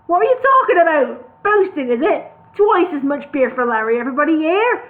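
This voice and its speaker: A woman voicing a loud, bullying male brewery boss with a gravelly, bellowing voice, on a tinny phone-like recording. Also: fairly high pitch, steady tone, moderate pace, natural voice. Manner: bossy, shouting demands.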